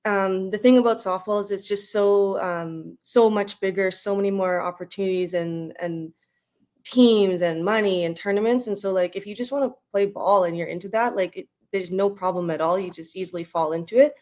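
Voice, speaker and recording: A woman's voice: medium pitch, normal speed, darkish tone. A woman in her thirties with a western Canadian accent, speaking as a guest in a casual interview in an informal, rambling way. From Edmonton, Canada. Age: 30s. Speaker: interview guest, retired national team baseball player.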